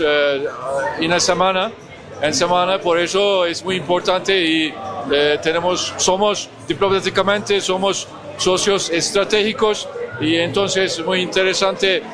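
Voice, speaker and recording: A man with a Turkish accent answers an interview slowly and haltingly, stopping often to search for words. His voice sits fairly high for a man. A busy crowd murmurs behind him at an outdoor event. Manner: halting non-native phrasing with many pauses. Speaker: ambassador guest.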